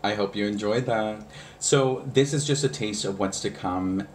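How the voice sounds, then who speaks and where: A man's voice: fairly low pitch, moderate pace, a crowd in the background. Casting: male talk show host, thirties, informal and conversational. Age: 30s. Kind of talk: casual chat and talk to viewers.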